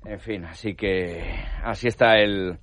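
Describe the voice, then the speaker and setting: A man giving the sports news on radio, measured, with frequent hesitant fillers. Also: on the low side, fairly even intonation, a bit bright, no echo. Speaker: sports reporter. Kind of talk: radio sports report and chat.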